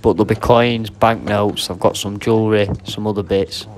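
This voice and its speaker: A man's voice: fairly low pitch, fairly even intonation, normal speed, a little hiss or hum. A man with an English accent giving casual, informal vlog commentary to viewers, chatting to them like mates. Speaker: video host and reseller. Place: indoor auction warehouse.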